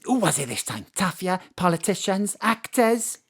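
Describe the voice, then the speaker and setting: A woman's voice: on the low side, moderate pace, breathy edge, full character voice. A woman playing a doting, nosy, scatty mother with a Welsh accent in a comic audio drama. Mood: teasing, nosy. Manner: malapropisms, excitable, teasing.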